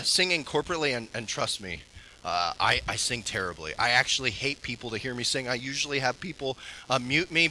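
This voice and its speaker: A man pastor giving an earnest sermon that ends in prayer, swinging between strong, raised passages and low, soft ones. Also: rough recording.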